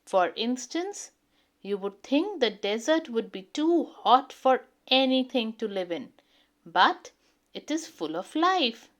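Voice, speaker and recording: Woman doing a patient mum from a kids book, high lively voice, explains stuff slowly. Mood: gently persuasive. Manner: measured, explanatory. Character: patient, knowledgeable mother explaining to her children. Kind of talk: children's storybook character reading.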